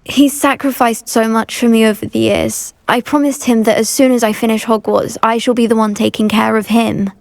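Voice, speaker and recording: An anxious British schoolgirl witch in a video game. Her voice is slightly high with a noticeable creak. She speaks politely and earnestly, and the sound is very dry. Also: fairly even intonation, normal speed, few pauses, a little mellow, no echo. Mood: worried, earnest. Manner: earnest, well-spoken. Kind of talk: video game dialogue acting.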